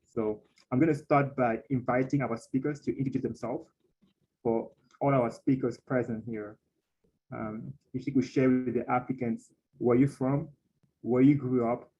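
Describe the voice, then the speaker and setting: Young male webinar host, monotone, mellow, slightly muffled call audio. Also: medium pitch, slight background noise. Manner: steady, even delivery with frequent fillers. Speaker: webinar host and council president. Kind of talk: panel webinar moderation.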